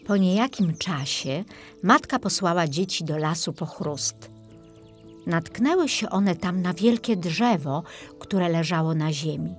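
A woman reads a children's fairy tale. Her delivery is unhurried and very melodic, in a medium-pitched voice, with faint music underneath. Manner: expressive storytelling. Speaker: audiobook narrator.

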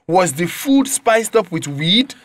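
A man's voice: high-pitched, very animated, slow pace, a bit bright, exaggerated voice. A man playing a betrayed, hot-tempered young friend with a Nigerian accent, confrontational and exclamatory in a radio drama. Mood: angry.

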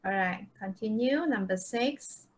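A woman's voice: mid-range voice, a little slow. A woman teaching a live online English class, often checking understanding with short questions. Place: online video-call lesson.

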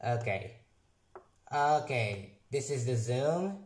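Slow, halting young man in an echoey room. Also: mid-range voice, lively intonation, slight background noise. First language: not English. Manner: reads haltingly with restarts and corrections. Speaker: software reviewer. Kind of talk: casual screen-recorded review, reading aloud. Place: room at a computer.

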